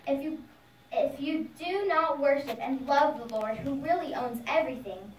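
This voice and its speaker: A woman's voice: high voice, slight background noise. A woman voicing an earnest young boy devotee who preaches simply to his friends in a puppet show. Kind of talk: puppet show storytelling, sermon-like. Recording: echoey room, distant microphone.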